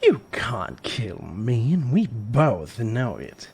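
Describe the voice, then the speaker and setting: A cold, smug villain of a mage, played by a man in an audio drama. His husky voice moves widely in pitch, from deliberate menace to open threat. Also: very animated, darkish tone, heavily acted. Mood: sullen, defiant. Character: cold, cruel villainous weather mage brother.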